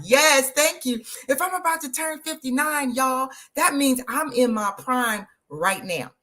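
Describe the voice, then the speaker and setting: A woman, hosting an energetic, motivational live stream and talking straight to her viewers. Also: a little high. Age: 50s. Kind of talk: live social media stream.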